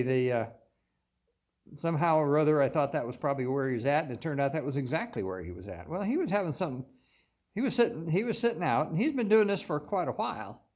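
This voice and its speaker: An older American man telling stories casually to viewers in a folksy, meandering way, often pausing mid-sentence. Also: on the high side, expressive, darkish tone. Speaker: host of a paranormal viewer-story channel. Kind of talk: casual rambling storytelling to viewers.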